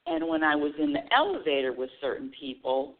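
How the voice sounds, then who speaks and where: A woman's voice: low voice, a little fast, creaky. Woman, radio host, earnest spiritual monologue, repeats herself, thin phone-like sound. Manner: earnest, animated, repeats and restarts phrases. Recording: tinny phone-line audio.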